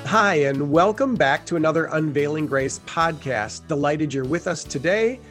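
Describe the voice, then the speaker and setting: A man's voice: a little high, lively intonation, normal speed, music in the background. A man co-hosting a podcast, greeting listeners and asking a guest questions in a friendly way. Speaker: podcast co-host. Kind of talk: casual interview.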